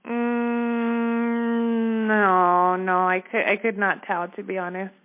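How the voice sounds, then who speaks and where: A woman talks over the telephone, her mid-pitched voice squeezed into a narrow, muffled band. She recounts things informally, as in a casual witness interview, and keeps checking that the listener follows her. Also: monotone, slow pace. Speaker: store manager giving a witness account. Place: telephone call. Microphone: phone line. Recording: narrow muffled phone-line audio.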